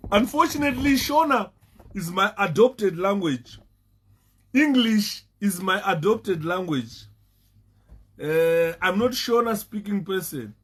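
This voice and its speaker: A Zimbabwean man hosts a casual live stream, his voice sitting fairly high. He speaks slowly and haltingly, often repeats his words, and breaks into laughter between points. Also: expressive, breathy edge. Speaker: Facebook live host.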